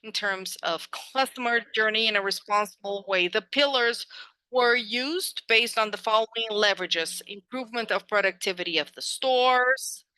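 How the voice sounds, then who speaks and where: A woman working as a live interpreter on a corporate earnings call, delivering it haltingly, phrase by phrase. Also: fairly high pitch, pushed and bright, clean tone, dry recording, rough recording.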